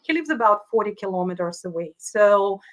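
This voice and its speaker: A woman's voice: medium pitch, lively intonation, normal speed. A woman from Ukraine, a native Russian speaker, talking earnestly as an interview guest and leadership coach. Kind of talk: conversational interview.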